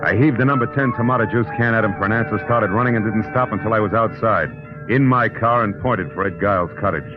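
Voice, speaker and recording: A man's voice: fairly low pitch, fast, lightly acted. An actor playing a tough, wisecracking private detective in an old-time radio drama, sardonic and clipped, narrating his own case. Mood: tense, wry narrating. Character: hard-boiled private detective, tough, wisecracking adult man. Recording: dull old radio recording, music behind.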